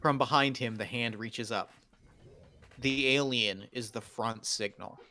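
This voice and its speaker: A man's voice: mid-range voice, measured pace, pushed and bright, slight background noise. A man narrating screenplay action lines at a table read in short scripted statements.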